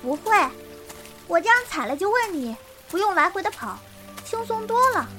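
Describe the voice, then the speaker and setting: Very high-voiced, blunt young girl, played by a woman. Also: very animated, moderate pace, a bit bright, faint music behind, exaggerated voice. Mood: determined. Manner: curt, brusque, bossy but caring. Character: blunt, stubborn young wild girl, fiercely protective. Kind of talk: Chinese period wuxia audio drama acting.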